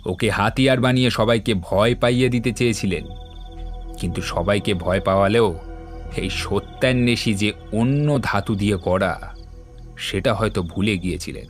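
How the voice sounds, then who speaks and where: A shrewd detective, played by a man, speaks in a low voice with measured assurance. He lays out his reasoning like an audio-drama monologue, composed and deliberate, with soft music underneath. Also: natural voice. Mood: grave, explaining. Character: composed, shrewd male detective explaining a case. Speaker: voice actor in an audio story.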